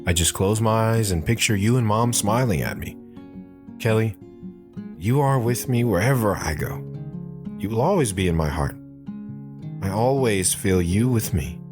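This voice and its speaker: A man's voice: on the low side, animated, normal speed, long pauses, over music, natural voice. A man playing a loving, reassuring father in an audio drama, speaking tenderly to his daughter. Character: loving, reassuring, playful father of a young girl.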